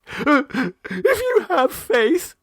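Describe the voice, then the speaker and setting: Man putting on a shrill, wailing young mother. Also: wildly swooping pitch, drawn-out words, fairly bright tone, no echo, studio quality, heavily acted. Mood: tearful pleading. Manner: wailing, sobbing. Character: distraught, shrill, tearful young mother, upper-middle-class Edwardian.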